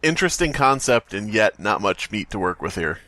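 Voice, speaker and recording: A man's voice: lowish voice, very animated, brisk, sharp tone, no echo. A man co-hosting a podcast, chatting casually, reading stories aloud, and laughing often. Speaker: podcast co-host.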